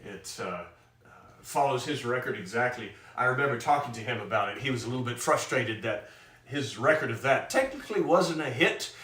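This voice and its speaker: A man with a loud speaking voice, hosting a live stream and chatting casually and self-mockingly between songs. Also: medium pitch, lively intonation, brisk. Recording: roomy sound from a distant mic.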